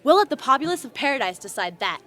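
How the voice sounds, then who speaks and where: A woman playing a brash, self-assured high school girl, boastful and easily indignant. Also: sing-song, fast, fairly bright tone, faint music behind.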